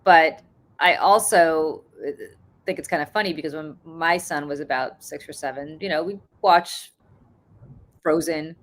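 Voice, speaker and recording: A woman's voice: low voice, unhurried. A woman in her forties, originally from Russia, chatting casually as a podcast host and laughing through her stories. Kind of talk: casual chat.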